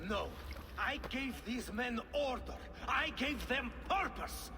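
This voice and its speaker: A fiery rebel archer leader in a video game. He speaks slowly and commandingly, swinging widely in pitch like an orator. His voice sits very high for a man. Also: expressive, noisy background, heavily acted. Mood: bitter, proud. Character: fiery rebel archer leader, a male zealot rallying townsfolk. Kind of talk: dramatic game acting, rousing speech.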